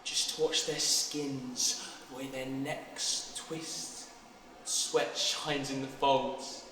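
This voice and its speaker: A troubled British teenage boy, performed by a young male actor delivers a stage monologue in a high, sharp-edged voice. He is intense and halting, moving between hushed brooding and explosive force. The sound is heavily reverberant, as in an empty hall. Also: lively intonation. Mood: awed, hushed. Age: teens, about 16. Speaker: student actor. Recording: very echoey hall, distant mic, faint noise.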